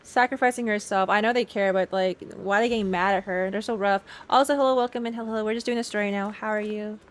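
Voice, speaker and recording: A woman's voice: medium pitch, fairly even intonation, fast. A woman streamer giving casual live reactions to a game and reading its story text aloud. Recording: faint game music behind.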